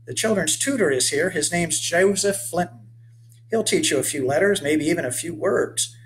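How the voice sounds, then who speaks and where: A man's voice: a little high, expressive, normal speed, a little hiss or hum, pitched above the performer's own voice, lightly acted, rough recording. A man voicing a weathered old frontier boatman who speaks in folksy, old-fashioned phrases. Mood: friendly, firm. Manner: folksy old-fashioned speech, calls the boy lad and son. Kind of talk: voiced character in a read-aloud story.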